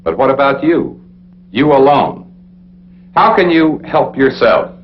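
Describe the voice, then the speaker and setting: An American man narrating a 1960s military training film in an authoritative, deliberate, instructional way, speaking straight to the listener. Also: mid-range voice, very animated. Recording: dull, noisy 1960s film soundtrack with hum.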